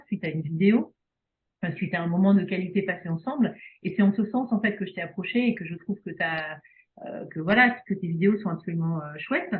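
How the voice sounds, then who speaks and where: A woman from Belgium, a speech therapist talking casually in an interview, hesitant with many fillers and restarts. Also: on the low side. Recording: phone-like video call audio.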